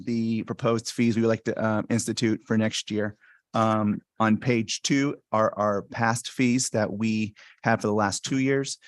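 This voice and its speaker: A soft-spoken man presenting school fees at a public board meeting, talking into a stand microphone with many ums and restarts. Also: fairly low pitch, no echo. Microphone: stand microphone at a meeting table.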